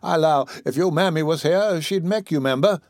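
A man's voice: a little mellow, no room sound, clean studio sound, natural voice. A man putting on a bossy old Southern woman. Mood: scolding, motherly. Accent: American Southern. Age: older. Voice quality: high put-on voice, drawn-out dialect speech.

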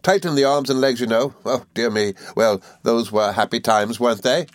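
A man voicing a cheerful, good-natured young gentleman law student in an audiobook, eager and flustered, with little stammering hesitations. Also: mid-range voice, normal speed, no room sound, pitched above the performer's own voice, close to an everyday voice. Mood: merry, nostalgic. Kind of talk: audiobook character dialogue.

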